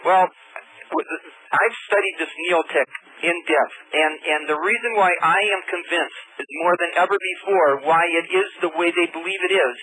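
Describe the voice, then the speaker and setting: Bright, high-voiced male phone-in caller. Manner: earnest, halting, persistent explaining. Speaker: caller to a radio show. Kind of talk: live phone-in debate. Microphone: telephone. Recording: faintly noisy radio phone-in call.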